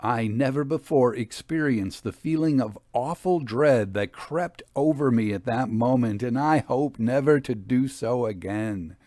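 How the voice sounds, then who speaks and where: A man reading an old-fashioned ghost story in a deliberate, theatrical, suspenseful way, with dramatic pauses. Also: medium pitch, darkish tone, no room sound. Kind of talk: dramatic audiobook narration of a Victorian ghost story.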